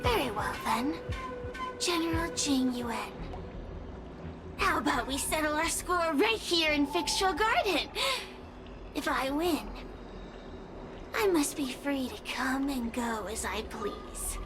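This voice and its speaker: A woman's voice: sits high, lively intonation, slow pace, long pauses. A woman playing a haughty, impetuous spirit creature in a video game, taunting theatrically and spoiling for revenge. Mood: mocking, contemptuous. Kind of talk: video game villain acting. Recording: game dialogue over music.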